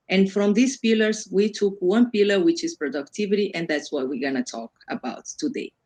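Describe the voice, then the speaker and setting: A woman from Brazil with a Brazilian accent, a native Portuguese speaker, presenting an online workshop in a conversational way and rephrasing as she explains. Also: fairly low pitch. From Brazil, Amazon region. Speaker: workshop presenter, self-development coach.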